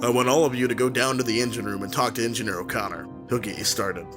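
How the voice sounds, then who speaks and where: A man's voice: medium pitch, steady tone, fast, close to an everyday voice. A man playing a decisive, plain-spoken navy captain in an audio drama. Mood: commanding. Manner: blunt, commanding. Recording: music behind the voice.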